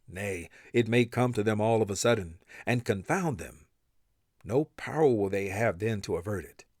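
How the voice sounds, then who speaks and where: A man's voice: lowish voice, darkish tone, no echo, studio quality. A man reading translated scripture aloud with a solemn, emphatic and dramatic delivery. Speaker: reader of scripture. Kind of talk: expressive scripture recitation in English translation.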